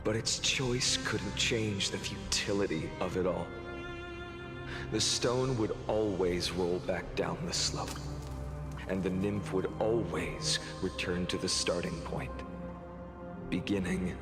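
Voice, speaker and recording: A man playing a grieving, wrathful young hero in a video game, speaking in a solemn, deliberate, dramatic way. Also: sits low. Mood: somber, resigned. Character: grieving, wrathful young male hero recounting endless doomed cycles. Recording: long reverb, clear music behind. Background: game music.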